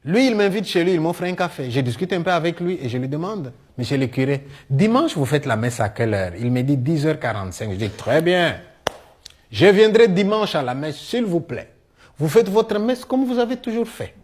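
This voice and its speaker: A middle-aged man with a Congolese French accent tells comic stories in a one-man show, acting out dialogue in an animated way. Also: a little high, moderate pace. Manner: animated storyteller, acting out dialogue, punctuating with "hein". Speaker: stage storyteller and comedian.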